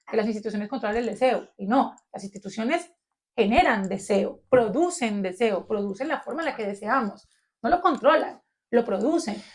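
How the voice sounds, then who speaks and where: A woman with a Colombian accent and a fairly high voice co-hosts a round-table on philosophy. She talks in a lively, searching way, thinking aloud and reaching for her ideas with plenty of fillers. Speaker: podcast co-host.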